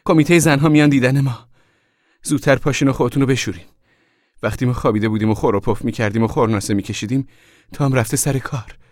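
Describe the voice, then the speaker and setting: A man's voice: fairly low pitch, level tone, slightly rough, dry recording, whispered, clean studio sound. A man voicing a hard-working, anxious and bossy mother in an audiobook story, speaking in plain, urgent colloquial lines. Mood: urgent, commanding.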